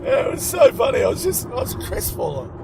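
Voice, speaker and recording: A man's voice: wildly swooping pitch, brisk, creaky. A middle-aged Australian man, a comedian chatting casually and telling anecdotes in slangy, sweary language. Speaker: stand-up comedian. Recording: noisy in-car recording, traffic rumble.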